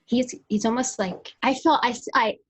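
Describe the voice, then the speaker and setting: A woman's voice: fairly high pitch, fairly even intonation, moderate pace, husky. A woman co-hosting a casual book chat over a video call, hesitant and often trailing off mid-thought. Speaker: podcast co-host. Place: indoors in a home room, on a video call. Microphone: video call microphone.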